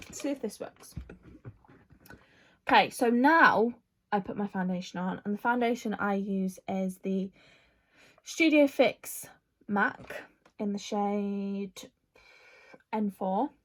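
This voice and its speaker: A woman's voice: medium pitch, slow, long pauses. A woman, chatting casually through a makeup Q&A, rambling with lots of fillers. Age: 20s. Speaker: vlogger.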